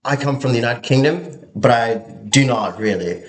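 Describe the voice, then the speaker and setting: A young man from Hong Kong giving an earnest, halting motivational monologue to camera, often repeating his words. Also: medium pitch, roomy sound.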